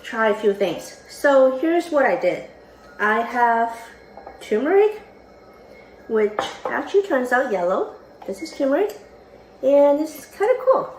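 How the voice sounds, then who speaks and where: Woman co-host from Hong Kong, fairly high voice, chatty demo, echoey room with faint hiss. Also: a little mellow. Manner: chatty, with small slips and restarts. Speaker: podcast co-host. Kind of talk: casual how-to demonstration. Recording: roomy echo, faint room noise.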